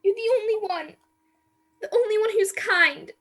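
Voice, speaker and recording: Very high, girlish woman playing a timid captive maid. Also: moderate pace, a bit bright. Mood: tearful, plaintive. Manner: plaintive, girlish, naive. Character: timid, sorrowful young captive maid, innocent and fearful. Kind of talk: radio play acting. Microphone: video call.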